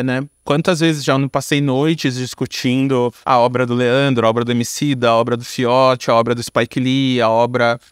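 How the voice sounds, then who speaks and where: A man's voice: mid-range voice, clean tone, no echo, clean studio sound. A man with a Brazilian accent talking casually and reflectively in an interview, slangy and informal, thinking aloud. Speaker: podcast guest, content creator and director.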